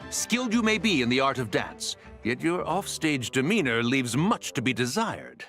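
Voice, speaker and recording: Snooty old immortal, huge swings in pitch, archaic, bit of music. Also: male voice, sing-song, moderate pace, fairly bright tone. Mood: scornful. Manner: pompous, archaic. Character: scornful ancient male adeptus, indignant elder. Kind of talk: game voice acting.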